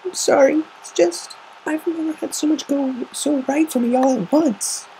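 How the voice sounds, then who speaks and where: Reader doing a very high earnest kid pony, halting and emotional, fan story, hissy home recording. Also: warm tone. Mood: tearful, overwhelmed. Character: tearful, earnest young filly pony, adopted orphan girl. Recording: noisy amateur home recording.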